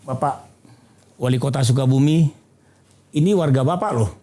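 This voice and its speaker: A man's voice: medium pitch, normal speed, mellow, roomy sound. A man hosting a talk show interview, teasing his guests and then making a formal appeal.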